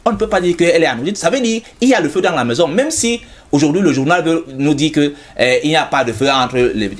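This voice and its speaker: Animated, insistent man. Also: a little high, fast. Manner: insistent, repeats himself to hold the floor. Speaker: studio guest commentator. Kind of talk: television debate.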